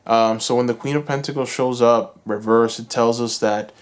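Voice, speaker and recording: A Cuban American man giving a calm, reassuring tarot reading, speaking slowly and soothingly to his viewers. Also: mid-range voice. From United States. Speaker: tarot reader. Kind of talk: pick-a-card tarot reading.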